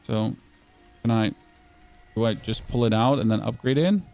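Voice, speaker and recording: A German man chatting excitably and casually while streaming a video game, often stumbling and restarting his words. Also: mid-range voice, moderate pace, gravelly, faint music behind. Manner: chatty, excitable, self-correcting. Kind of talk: casual gameplay commentary.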